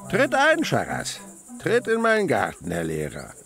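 Musing naturalist with a creaky, swooping voice. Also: male voice, mid-range voice, wildly swooping pitch, unhurried, over music, heavily acted. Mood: gentle. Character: Henri, male French insect researcher, musing, passionate, wry. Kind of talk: German radio play acting.

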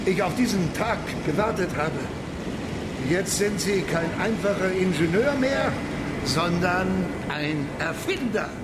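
An actor playing an impassioned visionary billionaire in a radio drama, speaking in a declamatory, emphatic way. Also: male voice, high-pitched. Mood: delighted. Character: impassioned visionary male billionaire, backer of a space project. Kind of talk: radio drama acting, oratory. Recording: echoey hall, distant, noisy ambience.

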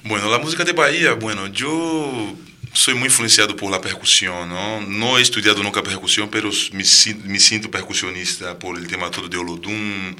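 A Brazilian musician with a Brazilian Portuguese accent speaking Spanish in a radio studio interview, talking softly and trailing off between restarts. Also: male voice, on the low side, normal speed. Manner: soft-spoken, trailing off, hesitant restarts. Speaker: musician guest.

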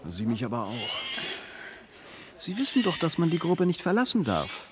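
A man's voice: on the high side, wildly swooping pitch, moderate pace, background noise. An actor playing a furtive, evasive male visitor in a radio play, speaking in a guarded, hesitant, conversational way. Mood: guarded, curious. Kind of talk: naturalistic radio play acting.

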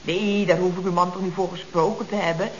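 An actress playing a chatty, mock-innocent office secretary in a Dutch radio comedy, with a chirpy, expressive delivery. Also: female voice, on the low side, fairly quick, close to an everyday voice. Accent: Dutch from the Netherlands. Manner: chirpy, expressive, chatty with frequent tag questions. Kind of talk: 1970s radio comedy acting. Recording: dull, noisy old radio recording.

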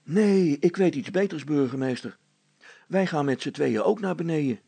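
A man acts out a middle-aged handyman father from a storybook, practical and careful. The voice is heavily creaky and rough, recorded very dry, with hearty everyday talk. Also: a little high, expressive, normal speed, dark tone, no echo, voice pushed up, exaggerated voice. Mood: concerned, firm. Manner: colloquial, hearty, plain-spoken.

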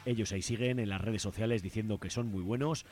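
A man with an accent from Spain hosting a radio show, giving informal commentary and announcements with frequent hesitant pauses. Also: fairly low pitch, music in the background.

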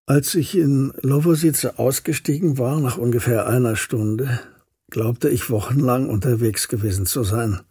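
A melancholy scholar in a radio play, retracing his lost past. The voice is very husky and mellow, a little high for a man, and recorded close and dry. He sets out each detail precisely and without hurry. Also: measured pace, no echo. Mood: weary, pensive. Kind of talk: literary radio drama narration.

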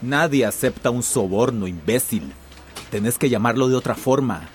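An actor playing a bullying, cynical company boss in a radio drama, with a domineering, impatient manner. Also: male voice, medium pitch, animated, moderate pace, slight background noise, close to an everyday voice. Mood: impatient, scornful.

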